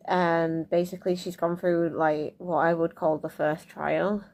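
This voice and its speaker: A young woman with a cold, chatting excitably about her reading in a casual vlog at home. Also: fairly low pitch, measured pace. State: has a cold, snuffly. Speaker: book vlogger. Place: home room under a window, lamp lit.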